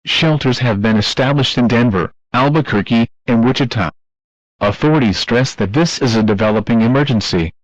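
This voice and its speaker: A dull, lo-fi broadcast of a sober emergency announcer played by a man. He delivers a formal scripted warning, measured and impersonal, in a dry recording with no room sound. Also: medium pitch, no room sound, close to an everyday voice. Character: official emergency bulletin announcer, sober male voice.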